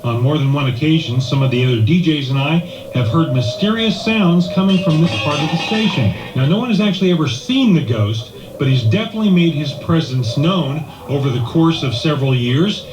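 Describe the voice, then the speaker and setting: A man's voice: a little high, lively intonation, fairly quick. A man working as a radio DJ, narrating a scripted live report to listeners. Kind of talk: scripted radio broadcast narration with acted skit. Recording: echoey room, music behind.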